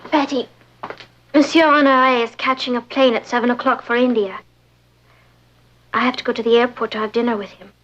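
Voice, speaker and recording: A young woman playing a polite, dutiful teenage girl with a genteel, measured manner in a vintage TV sitcom. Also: on the high side, steady tone, a bit bright, lo-fi sound, natural voice. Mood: apologetic.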